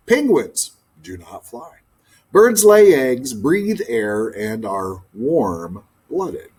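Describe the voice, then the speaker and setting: A man narrating a children's book in an expressive read-aloud style. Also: mid-range voice, breathy edge. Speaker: storybook reader, teacher.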